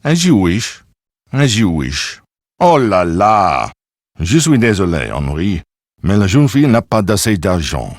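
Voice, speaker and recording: A man's voice: deep voice, very animated, a little slow, no room sound, clean studio sound, heavily acted. A man acting as a suave, self-important Frenchman in a video game, with a French accent and pompous, precise delivery. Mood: courteous, theatrical.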